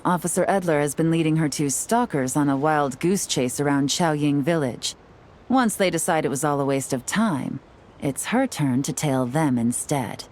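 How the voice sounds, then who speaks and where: A woman's voice: low voice, a little mellow, slight background noise. A woman playing a shrewd, confident intelligence operative in a video game, composed and calculating as she gives briefings. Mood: calm, calculating. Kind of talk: video game character acting. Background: faint game music.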